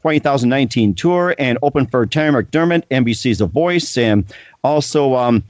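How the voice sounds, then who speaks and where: A man's voice: medium pitch, animated, normal speed, darkish tone, no echo, dull audio. A man hosting his own podcast, reading sponsor spots and introducing a guest with many ums, uhs and restarts. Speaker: podcast host. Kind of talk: casual interview and self-read sponsor spots.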